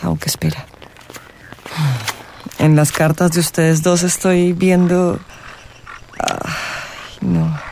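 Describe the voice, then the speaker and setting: A woman acting a mystical, teasing tarot reader in a radio drama, theatrical and suggestive, with dramatic pauses. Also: fairly low pitch, flat delivery, gravelly, darkish tone, a little hiss or hum. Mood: teasing, ominous. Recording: music behind the voice. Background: music.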